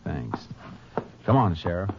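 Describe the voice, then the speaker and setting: An actor playing a calm Texas Ranger who narrates a 1950s radio drama, talking in a steady, matter-of-fact way. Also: male voice, deep voice, takes it slowly, over music, full character voice. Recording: dull lo-fi old radio recording with faint hiss.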